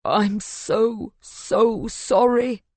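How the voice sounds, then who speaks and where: An anguished husband in an audiobook drama, played by a woman, accusing and raw with grief. The delivery hisses, moans and cries out in slow, heavy phrases, recorded close and dry. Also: lowish voice, slightly rough, dry recording, exaggerated voice. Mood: grieving. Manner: hissing, moaning, crying out. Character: anguished, angry husband, adult man, grief-stricken and accusing.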